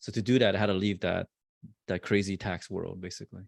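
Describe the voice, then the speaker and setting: A man in his forties, a real estate investor, answering interview questions in a halting way with many fillers and restarts. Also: sits low, steady tone, gravelly, no echo. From born in Vietnam, raised in New Jersey.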